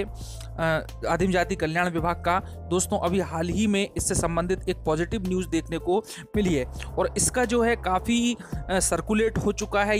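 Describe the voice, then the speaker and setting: A high-voiced man presents a news update to his channel's viewers. He talks like a presenter, with repeated emphasis, and music plays faintly behind him. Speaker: YouTube channel host. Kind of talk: news update narration to subscribers. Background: background music.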